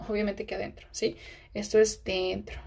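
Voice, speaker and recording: A woman teaching pharmacology while writing on a diagram. She keeps checking in with rhetorical questions and sometimes drops into a hushed aside. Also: mid-range voice, flat delivery, moderate pace, creaky, slightly rough. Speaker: pharmacology tutor.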